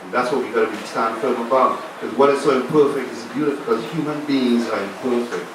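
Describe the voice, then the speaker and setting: A man's voice: mid-range voice, quick pace. A filmmaker on a festival panel, a man speaking in a passionate, declamatory way. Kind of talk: impassioned panel answer. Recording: echoey room, far from mic, background noise.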